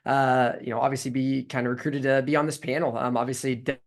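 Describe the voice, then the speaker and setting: A man of middle pitch introduces himself on a video call panel, hesitant and humble, filling pauses with ums and uhs. He sounds like an academic speaking off the cuff. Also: flat delivery. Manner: hesitant, many fillers, humble. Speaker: university sport management program director.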